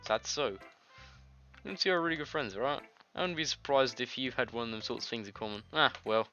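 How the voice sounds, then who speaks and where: A man with a cold reads a visual novel aloud in a very sharp, bright voice, dropping in jokey, informal asides. His pitch moves around a lot. The recording is a little dull and lo-fi, with soft music playing behind him. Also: brisk. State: congested with a nasty cold, coughing. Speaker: video game let's-player. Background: game music.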